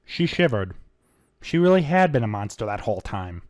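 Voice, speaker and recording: Animated amateur narrator, mid-pitched voice. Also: normal speed. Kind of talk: dramatic scripted narration of fan fiction.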